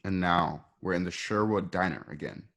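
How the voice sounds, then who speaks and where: A man's voice: low voice, moderate pace, fairly bright tone. A man casually narrating scene directions for a radio play, describing the action as it unfolds. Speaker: narrator reading stage directions.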